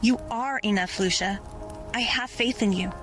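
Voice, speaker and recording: An actress playing a determined, burdened rebel leader in an audio drama. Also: female voice, fairly high pitch, normal speed, over music, close to an everyday voice. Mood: earnest, determined. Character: determined female rebel leader Seraphine, burdened but full of faith.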